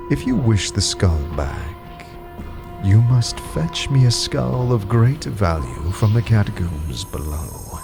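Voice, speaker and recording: Grim withered old underworld master: very low, slow, haughty and menacing, fantasy audio drama. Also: male voice, full character voice. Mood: cold, threatening. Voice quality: gravelly, grim voice. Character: withered old man, grim, imperious underworld collector of skulls.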